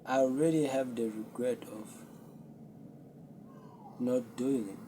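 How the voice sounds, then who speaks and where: A young man, a Zulu speaker, hosting his own podcast and talking earnestly and reflectively to a camera with many pauses. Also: medium pitch, dark tone, a little hiss or hum. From South Africa. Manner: slow, earnest, self-searching, with pauses. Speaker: podcast host. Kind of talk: solo reflective talk to camera.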